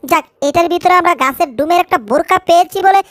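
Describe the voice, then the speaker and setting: A woman's voice: extremely high pitch, fast talker, a bit bright, dry recording. A woman voicing a timid, naive cartoon cat in a fan dub, pleading yet eager. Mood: curious.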